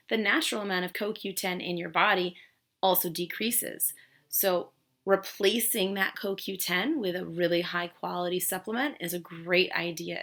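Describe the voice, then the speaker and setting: A woman in her thirties hosts her own fertility vlog, slightly hoarse because she is losing her voice. Her voice sits a little low but comes across bright and forceful. She explains things earnestly, with emphatic phrasing. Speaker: fertility channel host. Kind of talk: educational vlog talk.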